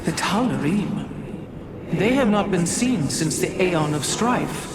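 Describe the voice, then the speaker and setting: An actor playing a stern, haughty, tradition-bound alien preserver in a sci-fi game, speaking in an imperious, formal way. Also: male voice, sits high, animated, takes it slowly, background noise. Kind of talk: dramatic sci-fi game acting. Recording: loud music under the voice. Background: orchestral game music.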